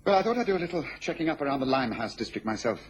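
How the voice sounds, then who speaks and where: An actor playing a keen, self-assured Victorian gentleman detective in a radio drama, brisk and emphatic, with a British accent and a husky voice. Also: medium pitch, big pitch swings, low-quality recording. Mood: pleased, assured.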